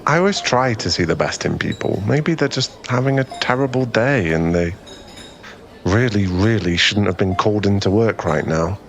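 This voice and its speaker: A man's voice: on the low side, lively intonation, normal speed. A man playing a friendly, easily flustered young guy in a conversational ASMR boyfriend roleplay, with a hesitant, rambling, self-effacing way of talking. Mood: thoughtful, kind.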